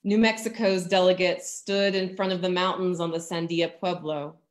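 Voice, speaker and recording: A woman in her thirties with an American accent gives a sermon in measured, deliberate phrases with emphatic pauses, over a video call from a room at home. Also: fairly low pitch, flat delivery. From Virginia, United States. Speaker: pastor. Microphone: computer mic on a video call.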